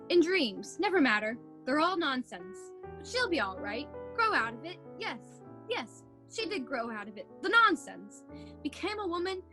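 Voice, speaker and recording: A woman playing a proud, defensive female miser who softens into regret, in a theatrical radio play recorded over a video call. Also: sits high, heavily acted.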